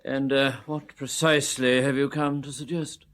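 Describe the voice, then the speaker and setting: An actor playing a stiff, suspicious solicitor in a radio drama, speaking with pompous, formal precision in an English accent. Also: male voice, mid-range voice, little pitch movement, normal speed, fairly bright tone, close to an everyday voice. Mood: coolly courteous.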